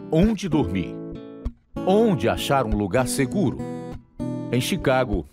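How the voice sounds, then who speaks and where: A man with a Brazilian accent, announcing and narrating a scripted dramatic radio programme. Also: medium pitch. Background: guitar music.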